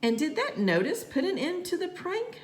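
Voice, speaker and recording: An inquisitive woman newspaper reporter from a children's storybook, read out by a woman. She is courteous but persistent, putting probing questions with a lively, rising and falling melody. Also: extremely high pitch, normal speed, pitched above the performer's own voice. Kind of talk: acted dialogue in a children's read-aloud.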